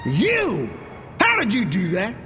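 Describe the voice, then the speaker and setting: An actor playing a belligerent, bombastic army general who hates communists, in a video game cutscene. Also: male voice, sits high, wildly swooping pitch, slow pace, big-room sound, loud music behind the voice, heavily acted. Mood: furious. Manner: bombastic, combative.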